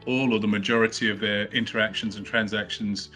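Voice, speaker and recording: A man's voice: lowish voice, flat delivery, a little fast, bright, forceful tone, soft background music. A middle-aged man, a company co-founder, answering interview questions casually, with many fillers and restarts. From not from Australia, studied in the UK. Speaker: company co-founder, podcast guest.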